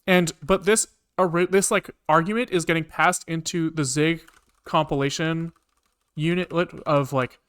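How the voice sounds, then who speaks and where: A man's voice: on the high side, a bit bright, clean tone. A man live-streaming a programming session, talking casually to himself with informal, sweary muttering as he works things out. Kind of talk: casual thinking aloud while coding.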